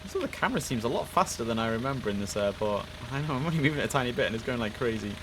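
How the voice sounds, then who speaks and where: A man's voice: medium pitch, animated, very fast, background noise. Male game streamer, informal and chatty, talking through tower control work over noticeable vehicle ambience. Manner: chatty, informal, thinks aloud. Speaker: streamer playing an air traffic control simulator. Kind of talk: casual live gameplay commentary.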